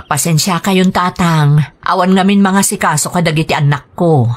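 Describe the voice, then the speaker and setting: A woman playing a careworn, pleading mother in a radio drama, with a husky voice and an Ilocano accent. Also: on the low side, moderate pace, close to an everyday voice. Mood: apologetic, pleading. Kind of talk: Filipino radio drama acting. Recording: music bed under dialogue. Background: music.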